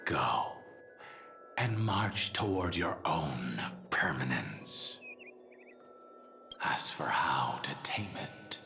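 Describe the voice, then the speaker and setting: An actor playing a grave, world-weary man in a video game, speaking in a measured, theatrical way. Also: very deep voice, big pitch swings, vocal fry, sharp tone, heavily acted. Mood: wistful farewell. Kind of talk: dramatic video game voice acting. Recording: long echoing reverb, faint music.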